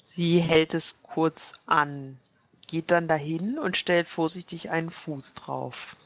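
Low-voiced young woman playing a tabletop game. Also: slow. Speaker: tabletop role-player. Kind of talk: casual play, describing her character's actions.

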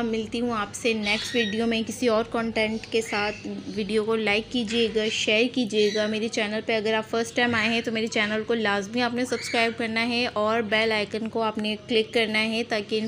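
Pakistani woman with high, even-pitched voice. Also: normal speed. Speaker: vlogger. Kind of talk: vlog voice-over narration. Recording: clear background noise under narration.

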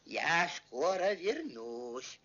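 A man voicing a poor, kindly old organ-grinder in a vintage cartoon, sighing and gently fatherly. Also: on the high side, sing-song, extremely slow, heavily acted. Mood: fatherly, mildly stern. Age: older. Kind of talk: vintage cartoon character acting. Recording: muffled old film soundtrack with music.

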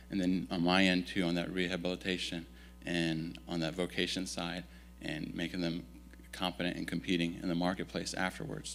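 A man in his thirties who grew up in Italy, giving a relaxed, self-deprecating missionary update in a church at a stand mic. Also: low-pitched, vocal fry, a little hiss or hum. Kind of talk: casual storytelling talk to a congregation. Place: church sanctuary, indoors. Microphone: stand microphone.

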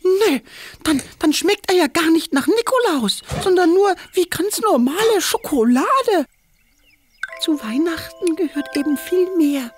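A woman voicing an eager, impatient, childlike little boy king in a children's cartoon, with expressive exclamations. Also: very high voice, unhurried. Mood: earnest. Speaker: main character of children's cartoon. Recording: music under the voice.

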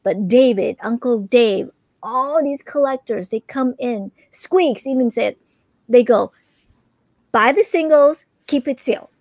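A woman in her thirties hosting a casual livestream, chatting in a rambling, animated way and repeating herself for emphasis. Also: a little high, unhurried, dry recording. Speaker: podcast host. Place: small room full of collectibles, indoors. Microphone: close desk microphone.

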